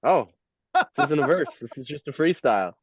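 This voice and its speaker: Animated storytelling man, dull lo-fi sound. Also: mid-range voice, normal speed, breathy edge. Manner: animated storyteller who acts out quotes, swears and laughs often. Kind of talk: casual podcast chat.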